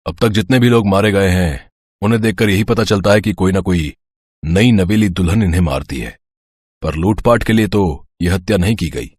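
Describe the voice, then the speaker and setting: A man's voice: low voice, moderate pace, darkish tone, husky. A man voicing a serious police inspector with a probing, businesslike manner.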